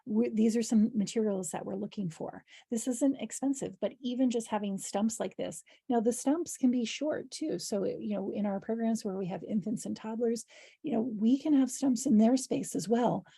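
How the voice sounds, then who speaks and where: A woman with a hoarse, husky voice giving an informal instructional presentation as an educator, explaining in a conversational way with practical examples. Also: fairly high pitch, moderate pace, warm tone, no echo, clean studio sound. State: hoarse voice, clearing her throat. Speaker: early childhood educator leading a training.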